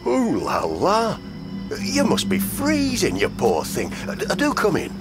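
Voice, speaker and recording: A man playing a friendly, flirtatious, bumbling signalman in an audio drama, halting and eager. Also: sits high, wildly swooping pitch, exaggerated voice. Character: friendly, flirtatious, bumbling railway signalman practising clumsy French. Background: loud music.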